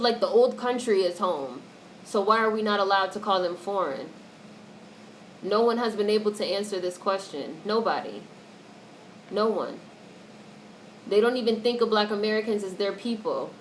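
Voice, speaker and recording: A woman's voice: medium pitch, expressive, moderate pace. A woman hosting a casual livestream, reading out and answering chat comments in a blunt, opinionated way with free swearing. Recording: noisy livestream audio with background noise.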